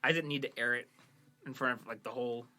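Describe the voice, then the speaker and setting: A man's voice: medium pitch, fairly even intonation, fast, sharp tone, faint music behind. A man hosting a podcast in casual banter, chatting and reading out listener reviews. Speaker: podcast host and creator of an amateur audio drama.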